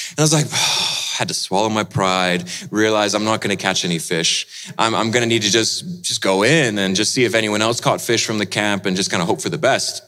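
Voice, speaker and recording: A man preaching as he tells a dramatic personal story, swinging between lively and hushed delivery. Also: medium pitch. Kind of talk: sermon storytelling.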